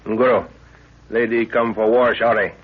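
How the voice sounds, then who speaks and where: A lowish, rough-edged voice of a commanding professor. He is fatherly, formal and authoritative, in old radio adventure style. The sound is thin and tinny. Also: little pitch movement, moderate pace. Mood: commanding, deliberate. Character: commanding, fatherly professor leading an African expedition. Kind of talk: 1930s radio adventure acting.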